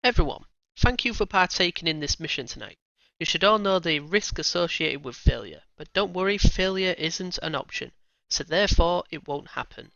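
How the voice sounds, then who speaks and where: A man's voice: on the high side, animated, normal speed, gravelly, bright, forceful tone, no room sound, exaggerated voice. A man playing a bold young lieutenant who is earnest and persuasive, on a dull lo-fi recording. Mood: rousing. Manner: earnest, rousing speech-giving.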